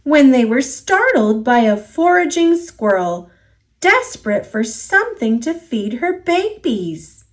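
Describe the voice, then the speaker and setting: A woman reading a children's picture book aloud as a storyteller, expressive and deliberate, drawing out key words. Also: sits high. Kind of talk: children's story read-aloud, scripted narration.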